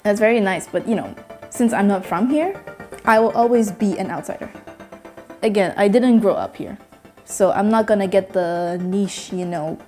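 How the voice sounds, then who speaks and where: A woman's voice: medium pitch, moderate pace, darkish tone, soft background music. A nineteen-year-old woman from Myanmar, a native Burmese speaker, speaking candidly in a personal interview with hesitant restarts. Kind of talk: personal testimony.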